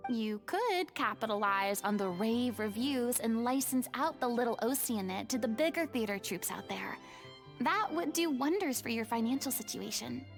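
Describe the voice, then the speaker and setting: An actress voicing a poised, eloquent former goddess turned actress in a game, speaking in a formal, reflective way. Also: female voice, fairly high pitch, expressive, normal speed, soft background music. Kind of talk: game voice acting.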